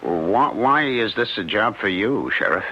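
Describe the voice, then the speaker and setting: An actor playing an anxious, cautious husband who stammers and hesitates in a radio mystery drama. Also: male voice, fairly low pitch, lively intonation, unhurried. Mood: flustered, guilty. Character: anxious, cautious husband, a failed painter hiding guilt. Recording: dull lo-fi radio recording with hiss.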